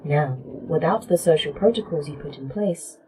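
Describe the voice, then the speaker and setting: A woman playing a defiant artificial intelligence in a sci-fi horror audio drama, speaking formally and with clear articulation. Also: sits low, moderate pace, noisy background, close to an everyday voice. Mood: coolly formal.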